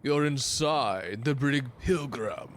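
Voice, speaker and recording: Guy doing a fussy pompous old-timey first mate, audio drama, slow grand talking, bit of background noise. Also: medium pitch, expressive. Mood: stern, indignant. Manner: pompous, florid archaic phrasing. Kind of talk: theatrical audio drama acting.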